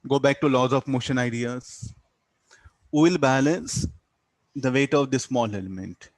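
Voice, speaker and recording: A man's voice: medium pitch, measured pace, no room sound. A man with an Indian English accent lecturing as a physics teacher, explaining step by step and often posing questions that he answers himself. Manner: didactic, asks and answers own questions, tags statements with "no?". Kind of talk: classroom physics lecture, working through a problem.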